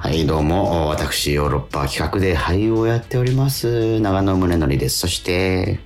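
A man's voice: deep voice, lively intonation, moderate pace, a little mellow, roomy sound, faint music behind. A man co-hosting a radio talk, telling stories in a polite, chatty way with repeated words and hesitations. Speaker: radio show co-host and stage actor.

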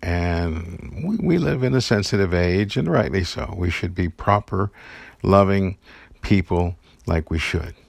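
A man with a low, gravelly voice gives an inspirational talk, earnest and encouraging. He tells personal stories and breaks into the odd chuckle. The recording is close and dry, with no room sound. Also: unhurried, no echo. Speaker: podcast host giving daily encouragement.

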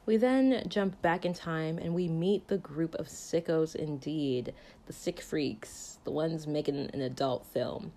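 A woman's voice: low voice, measured pace, dark tone. A woman giving a casual, chatty film review in a jokey, conversational way, peppered with "like". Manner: jokey, conversational, uses filler "like" often. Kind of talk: casual chatty movie recap and commentary.

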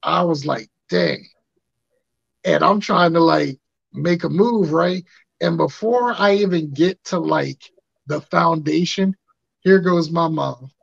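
A man in his thirties with a raspy voice, hosting a podcast and telling stories in casual chat, acting out quotes. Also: on the high side, slow, no room sound. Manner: animated, acts out quotes in funny voices. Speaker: podcast host. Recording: dry muffled lo-fi sound.

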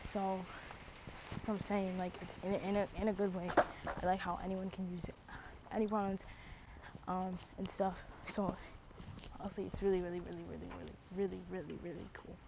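A woman's voice: lowish voice, little pitch movement, a little fast. A young woman chatting casually on a stream, rambling with lots of fillers and slang like bro and dude. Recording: dull lo-fi mic with clear background noise.

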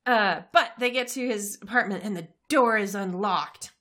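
A woman with a bright, crisp-edged voice at a middling pitch. She speaks like a casual podcast co-host, laying things out conversationally and checking that her partner agrees. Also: normal speed. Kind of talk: casual episode recap chat.

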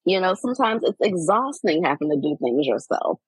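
A woman in her forties, talking candidly in a casual chat, reflective and animated as she tells personal stories. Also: lowish voice, a little fast, slightly rough, no echo, poor audio. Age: 40s. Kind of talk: casual heartfelt conversation.

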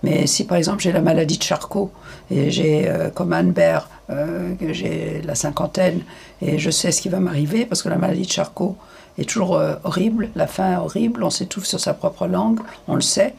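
An older woman giving frank, hesitant interview answers, with many pauses and fillers. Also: on the low side, creaky, a little mellow, husky.

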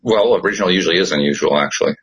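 Male host, low raspy voice, lively, wry and teasing. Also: rapid-fire, low-quality recording. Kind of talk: casual chat.

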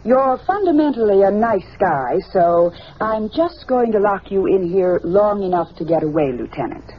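A woman's voice: mid-range voice, lively intonation, moderate pace, lightly acted. A woman playing a cool, sly, calculating female army corporal in 1950s radio drama style. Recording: dull old radio broadcast, faint noise.

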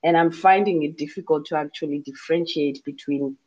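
A woman's voice: deep voice, fairly quick, warm tone, lo-fi sound. A Zimbabwean woman, a musician, answering interview questions thoughtfully with many fillers and restarts. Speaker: musician guest.

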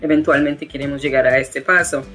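A woman's voice: low voice, steady tone, brisk, fairly bright tone, soft background music. A woman from the United States with an American accent, a guest in an interview who talks with many fillers and restarts. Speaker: guest, education founder. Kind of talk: interview conversation.

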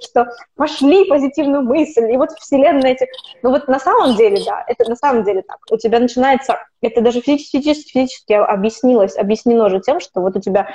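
A woman's voice: a little high, quick pace, dark tone. A woman in her twenties, a podcast guest talking casually and rambling, with frequent restarts and self-corrections. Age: 20s. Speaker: podcast guest, opera student. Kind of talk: casual conversational interview. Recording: dull lo-fi recording.

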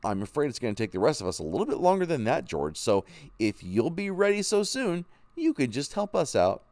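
A man voicing a soft, gentle frontier mother. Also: medium pitch, huge pitch swings, fairly quick, no room sound, pitched above the performer's own voice. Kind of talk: storybook reading.

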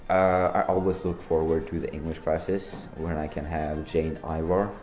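A teenage boy from Norway hosting a joking school podcast, talking in deadpan, slangy banter. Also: rumbling low, moderate pace, reverberant, muffled recording, soft background music. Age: teens. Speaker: podcast host. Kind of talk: joking student podcast interview.

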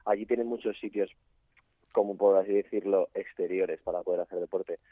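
A man's voice: sits low, steady tone, brisk, husky, no room sound. A young man from Spain, a photographer guest, chatting casually with many fillers and restarts. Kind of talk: casual interview chat. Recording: tinny phone-like line.

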